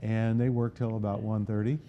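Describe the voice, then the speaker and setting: A man being interviewed about his organization, speaking plainly and hesitantly with many pauses. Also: fairly low pitch, flat delivery, highs cut off, a little hiss or hum. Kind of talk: casual interview chat.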